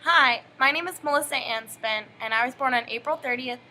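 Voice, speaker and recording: Bright young woman from Texas reading aloud. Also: high voice, brisk, slight background noise, low-quality recording. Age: young adult. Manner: scripted recitation. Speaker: student presenter. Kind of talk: reading a school family-history presentation.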